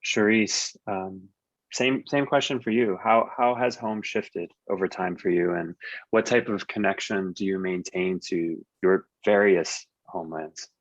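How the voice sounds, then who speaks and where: A man moderating a panel and asking brief, halting questions. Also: deep voice, no echo. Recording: dry muffled lo-fi audio.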